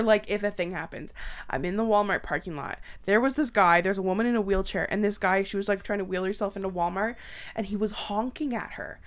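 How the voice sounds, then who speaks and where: A Canadian woman co-hosts a podcast with a casual, chatty delivery. Her pitch is average for a woman. She tells anecdotes in an animated way, acting out what people said and the sounds involved. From southwestern Ontario, Canada. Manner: animated, acts out quotes and sounds. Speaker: podcast co-host. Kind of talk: casual chat, anecdotal storytelling.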